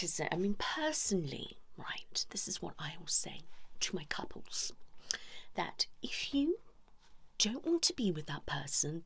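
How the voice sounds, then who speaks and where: Lady doing asmr therapist roleplay, breathy whispering, lowish voice, chatty with lots of tag questions. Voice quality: breathy, soft whisper mixed with low murmur. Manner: chatty, confiding, addressing listeners directly with frequent tag questions. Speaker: ASMR performer role-playing a couples therapist.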